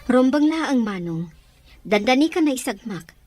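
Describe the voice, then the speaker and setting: A woman's voice: high voice, sing-song, unhurried, darkish tone, heavily acted. A woman playing an earnest, protective younger sister in a radio drama. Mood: concerned.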